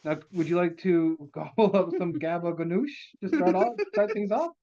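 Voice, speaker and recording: A man's voice: high voice, lively intonation, moderate pace, warm tone. A man playing a chatty, rambling waitress in a comedy table read, recorded with dull lo-fi sound. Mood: perky, rambling. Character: chatty, rambling restaurant waitress turned weapons specialist. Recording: dull lo-fi call audio.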